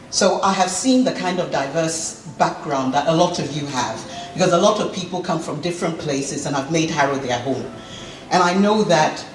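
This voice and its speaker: Middle-aged woman, earnest campaign speech, roomy distant hall sound with faint hiss. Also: low voice. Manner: earnest, passionate, repeats herself. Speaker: election candidate.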